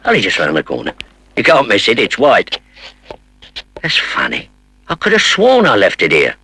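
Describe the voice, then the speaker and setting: A man's voice: on the low side, wildly swooping pitch, normal speed, vocal fry, sharp tone, slightly rough, no echo, full character voice. A British man playing a breezy, boastful football fan in acted dialogue from a 1970s public information film. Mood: puzzled. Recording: faintly noisy old film soundtrack.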